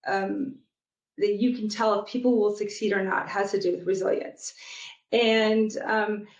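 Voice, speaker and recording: A woman talks through her thoughts as a guest, steady and measured and full of small pauses. Her voice is mid-pitched. It reaches the listener muffled, with a roomy echo around it. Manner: thoughtful, many fillers, measured. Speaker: guest, product manager and youth soccer coach. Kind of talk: casual interview conversation. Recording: muffled echoey remote recording.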